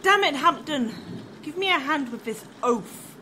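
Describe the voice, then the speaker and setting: A woman's voice: high-pitched, lively intonation, unhurried, sharp tone, a little hiss or hum, exaggerated voice. A woman playing a blunt, sarcastic female dwarf hunter in a fantasy audio drama. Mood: brash, sardonic. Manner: blunt, sardonic.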